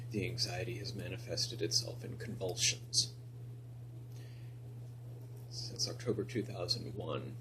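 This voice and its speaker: Soft-spoken, halting man in his thirties. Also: deep voice, noisy background. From Northern California. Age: 30s. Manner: soft-spoken, halting, many pauses and restarts, drops to near whisper. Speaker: vlogger telling his story. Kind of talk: personal confessional vlog.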